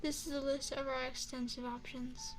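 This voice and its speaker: A woman plays an elegant vampire restaurateur in an intimate ASMR roleplay. Her voice is high, with formal, measured phrasing and courteous, seductive hospitality. Faint music sits behind her. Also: little pitch movement. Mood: gracious, attentive. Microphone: binaural microphone.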